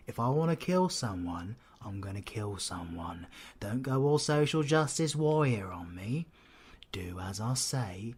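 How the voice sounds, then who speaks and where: Man acting a husky, cocky British supervillain. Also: lowish voice, darkish tone. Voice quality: husky, breathy. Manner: sardonic, slangy, swearing, often dropping to breathy whispers. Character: cocky, menacing, flirtatious male supervillain antihero. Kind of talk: scripted audio roleplay, ASMR drama.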